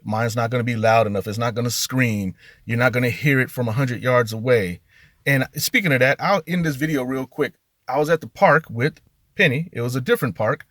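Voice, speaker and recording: A man's voice: medium pitch, a little fast, a bit bright, no room sound, studio quality. A middle-aged man hosting a car audio video in a casual, conversational rant, leaning on key words for emphasis.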